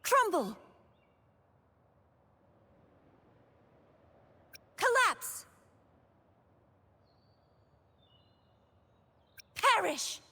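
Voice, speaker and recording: A woman's voice: a little high, slightly rough, full character voice. Solemn knightly young woman, long reverb. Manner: formal, dramatic, archaic phrasing. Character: noble, solemn young woman knight wielding sword and tides. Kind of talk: scripted video game character voice lines.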